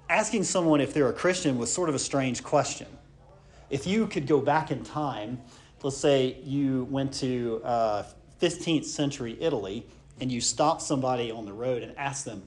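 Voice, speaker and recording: A man teaches church history in an unscripted, conversational way. His pitch sits in a middle range. He often stops on fillers and restarts, and he asks the class rhetorical questions. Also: a little mellow.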